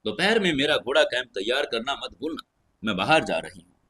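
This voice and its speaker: A man's voice: mid-range voice, big pitch swings, fairly quick, sharp tone, breathy edge, heavily acted. A man voicing a cold, commanding young noblewoman with a curt, imperious manner in an audio story. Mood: mocking.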